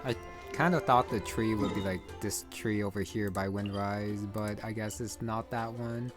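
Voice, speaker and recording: A man's voice: on the low side, fairly even intonation, moderate pace. A man giving casual, offhand, rambling commentary as he plays a video game. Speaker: gaming video creator. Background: game music.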